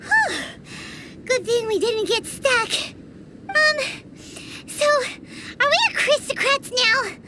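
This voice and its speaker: Tiny girlish game sprite, very high squeaky voice, bouncy lilt, slow drawn-out delivery. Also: sing-song, heavy background noise, full character voice. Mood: chirpy. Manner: high squeaky childlike voice, refers to herself by name. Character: tiny floating female companion sprite, childlike and chatty. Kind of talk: game cutscene voice acting.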